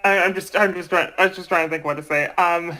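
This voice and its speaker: A man in casual chat who speaks haltingly, hesitating and repeating himself as he goes. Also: high voice, steady tone, bright, forceful tone, low-quality recording. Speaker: amateur voice project creator.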